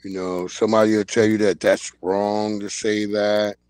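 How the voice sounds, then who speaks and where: A man's voice: fairly low pitch, little pitch movement, normal speed, a little mellow, smooth, no echo. A man in his forties hosting a livestream, ranting bluntly and in slang about the news of the day. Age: 40s. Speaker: livestream host. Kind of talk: casual commentary livestream. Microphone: streaming mic.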